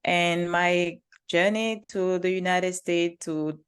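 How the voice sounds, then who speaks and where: A woman's voice: fairly low pitch, fairly even intonation, slow, clear, resonant tone. A woman from Cameroon with a Cameroonian accent, telling her story as a podcast guest in an upbeat way. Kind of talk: conversational interview.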